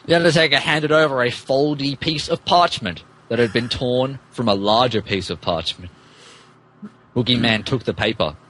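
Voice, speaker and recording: A husky-voiced man narrating fan fiction as a podcast co-host, dropping in wry comic asides. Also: mid-range voice, normal speed, fairly bright tone, poor audio.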